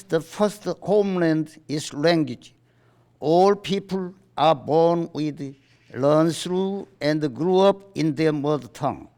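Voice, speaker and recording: Slow-reading man with a Korean accent. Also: a little high, a little mellow. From South Korea. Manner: halting, deliberate reading in short phrases. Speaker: writers' association chairman giving a statement. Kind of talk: formal read-aloud statement. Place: press conference in a book salon.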